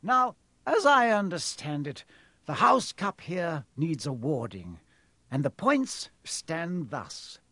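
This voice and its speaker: A man's voice: a little high, very animated, takes it slowly, pushed and bright, pitched above the performer's own voice, full character voice. An elderly, whimsical headmaster from a storybook acted out by a male reader. He addresses a hall with stately, genial ceremony. His voice is raised and carries like an orator's. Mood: cheerful, ceremonious.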